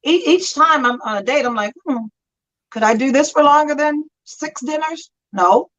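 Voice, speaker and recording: A woman's voice: sits high, expressive, moderate pace, a bit bright, muffled recording. A woman in her fifties co-hosting a casual chat show, telling stories with frequent laughter, calling in from a friend's house. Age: 50s. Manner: chatty storyteller, laughs often. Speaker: talk show co-host.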